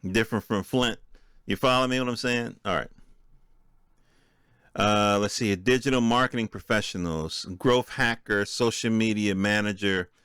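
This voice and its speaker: Low, smooth-voiced American man. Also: a bit bright, no echo, studio quality. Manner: conversational, checks in with listeners using rhetorical questions. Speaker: podcast host. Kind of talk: informal solo commentary, reading from a list with asides.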